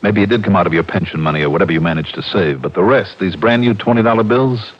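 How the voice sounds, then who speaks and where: A man's voice: low-pitched, fast talker. A man playing a tough, insistent insurance investigator with a clipped, probing manner in a 1950s radio drama. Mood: skeptical, pressing. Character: tough, hard-nosed male insurance investigator, insistent and skeptical. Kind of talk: 1950s radio detective acting. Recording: dull vintage radio recording.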